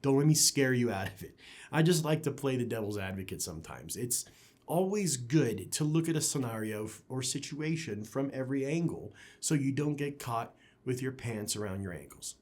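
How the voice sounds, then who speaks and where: Man, hi-fi gear reviewer, mid-pitched voice, conversational, opinionated, emphatic phrasing. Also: expressive, normal speed, darkish tone. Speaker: audio gear reviewer and channel host. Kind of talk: product review to camera.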